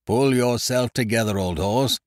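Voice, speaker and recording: A man voicing a loud, hearty, scheming young gentleman adventurer who blusters expansively. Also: medium pitch, unhurried, no room sound, studio quality, voice pushed up, lightly acted.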